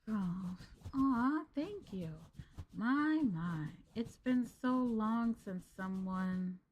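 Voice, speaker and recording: A young woman streamer chatting gently and sweetly with her viewers in a maid roleplay, calling them master. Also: medium pitch, expressive, drawn-out words, lo-fi sound.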